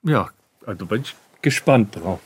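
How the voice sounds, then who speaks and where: A man's voice: lowish voice, lively intonation, a little slow, a little hiss or hum. A man working as a sound effects artist explains his craft in conversation, searching for his words in a studio. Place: radio drama studio.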